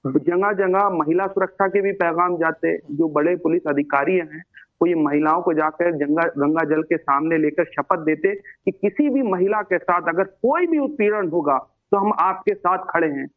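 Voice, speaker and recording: A man's voice: fairly high pitch, narrow, tinny audio. A man making a rhetorical statement on a television debate over a thin remote feed, building his points in repeated phrases. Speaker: political debate panelist.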